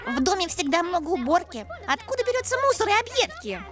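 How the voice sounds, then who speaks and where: Very high, doting female eagle. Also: very animated, fast talker. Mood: fussy. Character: lovestruck female eagle eager to nest. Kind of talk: cartoon dubbing. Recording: music underneath.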